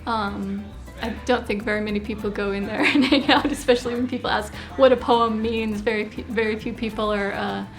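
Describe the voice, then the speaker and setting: A woman from Colorado answering interview questions, halting and repeating words. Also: mid-range voice, fairly even intonation, soft background music. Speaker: site volunteer.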